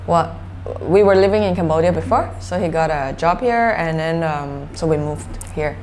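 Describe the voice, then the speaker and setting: A woman in her forties with a Malaysian accent and a fairly low voice. She explains things conversationally, feeling her way through her answers. There is some background noise around her. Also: moderate pace, a little mellow. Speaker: interview guest, social enterprise founder. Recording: clearly noisy background ambience.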